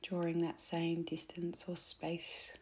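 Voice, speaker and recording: Woman, meditation guide, low even pitch, soft and breathy at phrase ends, gentle instructions with pauses. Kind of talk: soothing guided meditation narration.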